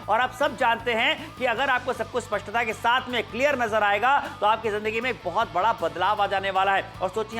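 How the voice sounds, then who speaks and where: Male shopping-channel host, high bright voice, quick hard-sell patter. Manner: energetic, repetitive, urging viewers to call. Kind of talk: live teleshopping sales pitch. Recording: faint music under studio voice.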